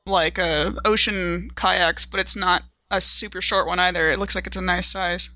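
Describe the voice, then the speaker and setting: An American woman in casual chat, telling anecdotes in an animated, self-mocking way. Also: fairly low pitch, moderate pace, dry recording.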